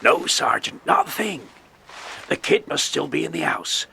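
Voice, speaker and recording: Gravelly, high-voiced young-sounding village policeman. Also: lively intonation, measured pace, sharp tone, exaggerated voice. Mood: dutiful. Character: eager subordinate village policeman, adult man. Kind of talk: children's audiobook dramatization. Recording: faintly noisy old cassette recording.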